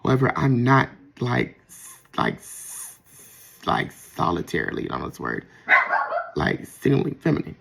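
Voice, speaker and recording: Soft-voiced man with a gravelly edge. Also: mid-range voice, huge pitch swings, a little slow, noisy background. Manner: reflective, with frequent fillers and restarts. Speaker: vlogger. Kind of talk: casual personal monologue.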